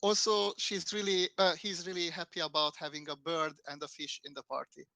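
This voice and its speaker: A man's voice: fairly high pitch, flat delivery, a little fast, sharp tone, dry recording. A man playing a tabletop game who introduces his character playfully. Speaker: player.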